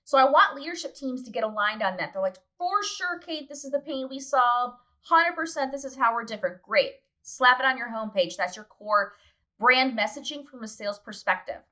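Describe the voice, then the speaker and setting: A woman's voice: a little high, lively intonation, a little fast, bright, forceful tone. A woman guest explaining brand advice in a conversational, emphatic, instructive way, speaking directly to listeners. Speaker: guest, brand consultant and author.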